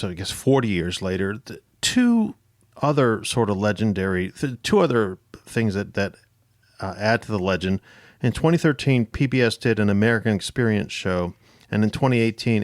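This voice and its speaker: Low-pitched male podcast host, dry sound. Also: expressive, moderate pace, no room sound. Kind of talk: conversational history podcast interviewing.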